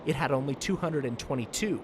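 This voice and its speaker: A man's voice: medium pitch, fairly quick, noisy background. An American man delivering a broadcast news report as a TV reporter.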